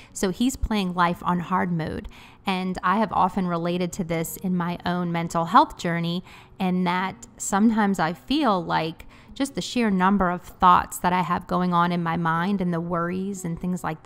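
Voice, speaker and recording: A woman's voice: fairly low pitch, fairly bright tone, smooth. A woman in her thirties giving an earnest, encouraging voice-over for her cleaning vlog. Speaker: cleaning vlogger.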